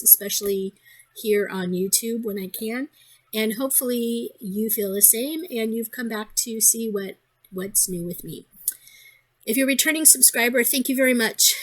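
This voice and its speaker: A woman with a hoarse, congested voice, chatting casually in a crafting vlog, rambling with many ums and restarts. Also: medium pitch. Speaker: crafting vlogger.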